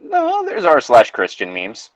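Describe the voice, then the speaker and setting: A man's voice: fairly high pitch, wildly swooping pitch, measured pace, dry recording. A man doing a comic read-aloud with casual commentary, irreverent and sardonic, on a slightly muffled mic. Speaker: co-host and reader.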